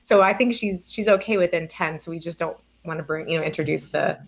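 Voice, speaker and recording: A woman around forty with a slightly low voice chats casually over a video call. Her talk is informal and conversational. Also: brisk, vocal fry. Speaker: guest. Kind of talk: casual chat.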